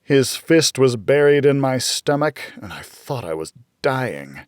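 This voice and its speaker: A man reading first-person fiction as an audiobook narrator, in a measured, dramatic storytelling manner. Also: mid-range voice, sing-song, a little mellow, dry recording, studio quality.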